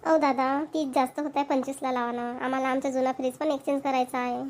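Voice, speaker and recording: A woman's voice: high-pitched, flat delivery, fast talker, darkish tone, close to an everyday voice. A woman voicing a chatty, insistent young wife in a Marathi cartoon, with a Maharashtrian accent. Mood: pleading. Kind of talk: cartoon voice acting.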